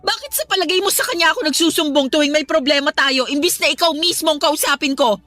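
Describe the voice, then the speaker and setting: Radio drama role: defiant, emotional young mother, sharp high voice, hurt by her partner. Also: fast, dry recording, faint music behind. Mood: indignant. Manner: emotional, indignant, pleading. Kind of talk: Tagalog radio drama acting.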